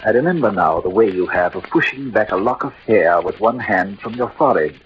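An actor playing an ailing, gallant middle-aged swordsman-poet who hides his love, in a halting, theatrical old radio drama style. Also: on the low side, expressive, lightly acted. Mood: tender, grieving. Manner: theatrical, halting, poetic delivery. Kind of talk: 1940s-50s radio drama acting. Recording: muffled, noisy old radio recording.

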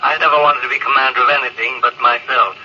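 Resigned male spaceman, brooding, quietly cynical, mid-pitched, 1950s radio drama, muffled and hissy. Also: steady tone, fast. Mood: bitter, blunt. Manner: brooding, blunt. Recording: muffled, noisy vintage radio recording.

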